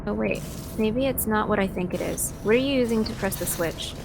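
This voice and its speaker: A woman's voice: mid-range voice, a little fast, creaky, background noise. A woman being interviewed as a voice actor, chatting casually while playing a game. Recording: game music and sounds behind. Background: video game audio and music.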